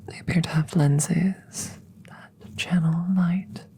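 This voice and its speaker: Alien researcher, played by a woman: female, low and dark-toned, hushed, clinical yet curious, slow deliberate phrasing for close-up sci-fi ASMR. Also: steady tone, vocal fry. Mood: fascinated, hushed. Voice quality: soft, often whispered close to the mic. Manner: slow, measured, formal, inquisitive. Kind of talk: ASMR roleplay. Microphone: close microphone.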